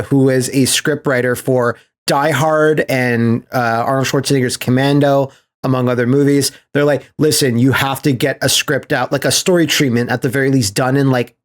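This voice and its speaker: A man hosting a podcast in casual chat, telling stories in an animated, sweary way with laughter mixed in. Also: medium pitch, normal speed, few pauses. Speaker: podcast host.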